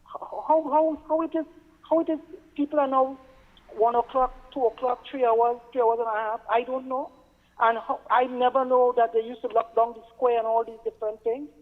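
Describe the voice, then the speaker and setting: A Trinidadian man speaks as a phone-in caller with an earnest, halting delivery. He doubles back on words as he makes his point. His voice comes over a narrow telephone line with long reverb. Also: very high-pitched, flat delivery. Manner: halting, stammering repetition of words. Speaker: radio show caller.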